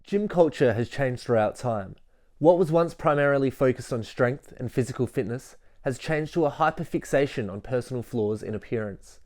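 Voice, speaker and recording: A young man reading scripted documentary narration in an earnest, measured way. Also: medium pitch, expressive, darkish tone.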